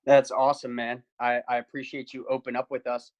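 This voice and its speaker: A man co-hosting an interview over a video call, upbeat and energetic, with frequent fillers. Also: mid-range voice, a little fast, highs cut off. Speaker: podcast co-host.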